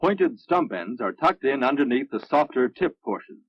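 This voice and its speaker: An American man narrates a military training film with measured, instructive delivery. His voice is a bit husky and sits at a middling pitch. The sound is dry and dull, like a vintage film soundtrack. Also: big pitch swings, dry recording.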